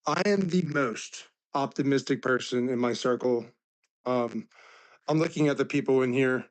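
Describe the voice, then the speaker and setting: A man in his thirties, raspy from laryngitis, talking earnestly on a live stream and saying "like" constantly. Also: medium pitch, moderate pace, low-quality recording. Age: 30s. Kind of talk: casual heartfelt talk.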